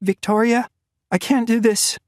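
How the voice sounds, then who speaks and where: A woman's voice: a little high, steady tone, measured pace, no echo, pitched above the performer's own voice, close to an everyday voice. A frightened, exhausted teenage girl speaks in a raised, strained voice, as a male narrator puts her on. She pleads for rest with a tired urgency. The audiobook sound is dry and close. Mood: pleading.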